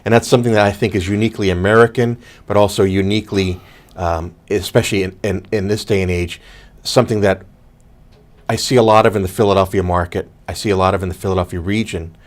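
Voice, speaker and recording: A man in his fifties working in public relations, co-hosting a talk show in a TV studio. He speaks in a measured way and tells his stories step by step. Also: low-pitched, steady tone. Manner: measured, storytelling. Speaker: public relations professional, co-host. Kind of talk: casual talk-show conversation.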